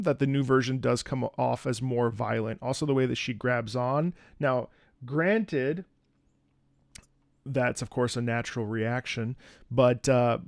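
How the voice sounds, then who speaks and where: A man streaming a casual live reaction and musical analysis, animated and conversational, close to the microphone. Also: medium pitch, gravelly, no room sound. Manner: animated, conversational, profane, demonstrates by singing. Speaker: streamer and opera singer reacting.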